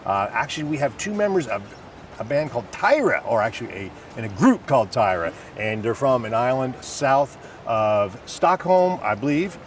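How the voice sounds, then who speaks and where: A man's voice: medium pitch, animated, normal speed. A man hosting a casual interview outdoors at a music festival, upbeat and chatty. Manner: upbeat, chatty, laughs often. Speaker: host. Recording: distant mic, faint street noise.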